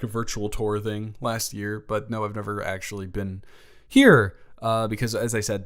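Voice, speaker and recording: A man's voice: fairly low pitch, a bit bright, clear, resonant tone. A young man playing a shy, sweet college freshman in a romantic audio roleplay, stammering and rambling with earnest self-deprecation. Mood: nervous, grateful. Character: shy, nervous, sweet young male college freshman from small-town Georgia. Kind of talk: romantic audio roleplay, one-sided conversational acting.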